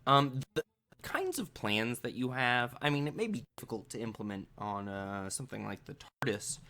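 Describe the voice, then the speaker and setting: A man's voice: mid-range voice, lively intonation, moderate pace, bright, forceful tone. A man running a tabletop role-playing game, improvising scene descriptions in a casual, hesitant, explanatory way. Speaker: game master.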